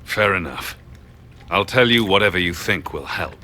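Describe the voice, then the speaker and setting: Weary game hero, very low bright forceful voice, grave and determined, bit of ambience behind. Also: male voice, lively intonation, normal speed. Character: weary, resolute male champion turned rebel mage hero. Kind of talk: video game dialogue acting.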